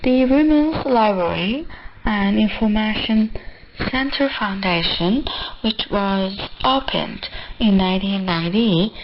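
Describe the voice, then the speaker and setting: Creaky-voiced woman newsreader on noisy shortwave radio. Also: mid-range voice, dull audio. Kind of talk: scripted broadcast narration.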